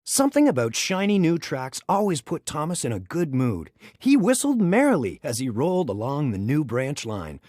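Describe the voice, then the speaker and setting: A man reading a children's story aloud in an expressive, dramatic storytelling manner. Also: a little high, normal speed, few pauses, no room sound, studio quality. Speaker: storybook reader.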